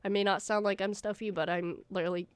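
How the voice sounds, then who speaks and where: A woman's voice: mid-range voice, fairly even intonation, fast, smooth, dry recording. A young woman with a stuffy nose, hosting a gaming channel and giving her viewers a rambling, casual update full of fillers. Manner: rambling, many fillers and restarts.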